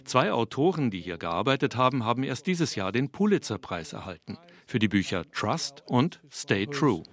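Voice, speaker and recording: A man voices a translated interview over the original. His pitch rises and falls a great deal. The delivery is relaxed and conversational. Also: moderate pace.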